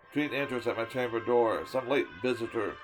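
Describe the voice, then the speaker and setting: A man giving a dramatic reading of a poem, swinging from loud declaiming to hushed whispers and sometimes tripping over a word. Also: mid-range voice, a little fast, music in the background. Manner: stumbles and restarts words now and then. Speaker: storyteller reading a poem aloud.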